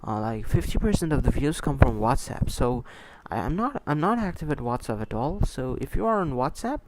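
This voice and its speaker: Guy streaming esports, non-native english, rambly casual chat, average pitch, bit of noise and clicks on the mic. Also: big pitch swings, brisk. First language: a language other than English, not Hindi. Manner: rambling, repeats himself, answers chat as he goes. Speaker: esports livestream host and commentator. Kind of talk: casual livestream chat and match commentary. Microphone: close microphone.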